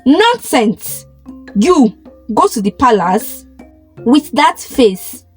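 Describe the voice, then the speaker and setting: Woman playing a scornful, snapping stepmother, high voice. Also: sing-song, extremely slow, darkish tone, slightly rough, soft background music, full character voice. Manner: snapping, scolding. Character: cruel, scornful stepmother, shrill and bullying.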